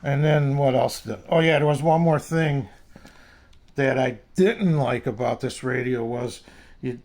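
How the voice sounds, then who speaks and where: A man's voice: medium pitch, unhurried, gravelly, darkish tone. A man casually reviewing and demonstrating a product in a rambling, folksy way. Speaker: radio reviewer. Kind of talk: casual hands-on product demo.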